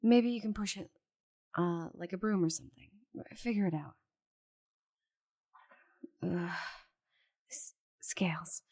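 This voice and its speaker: A woman playing a proud, stubborn giant dragon in an ASMR roleplay, exasperated and condescending, with a breathy voice and muttered asides. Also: lowish voice, slow, pauses often, warm tone. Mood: exasperated, urgent. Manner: haughty, exasperated, condescending endearments, muttering to herself. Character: proud stubborn giant female dragon, flustered while shrinking.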